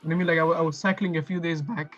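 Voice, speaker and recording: A man's voice: on the high side, steady tone, fairly bright tone, dull audio. A man co-hosting an online workshop, talking casually over a video call with lots of fillers and restarts. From lives in Australia. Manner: halting, many fillers and restarts. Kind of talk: casual online session chat.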